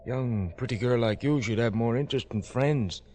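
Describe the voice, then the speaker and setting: An actor playing a gentle, worried father who speaks formally and hesitantly in an old-time radio drama. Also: mid-range voice, moderate pace, natural voice. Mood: worried, fond. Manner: hesitant, courtly phrasing. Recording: faintly noisy old radio recording.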